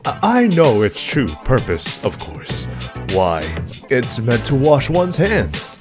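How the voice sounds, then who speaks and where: A man's voice: mid-range voice, wildly swooping pitch, takes it slowly, over music. A man playing a naive, curious and proud otherworldly attendant in a fan audio drama, with formal, theatrical delivery. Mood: flustered pride.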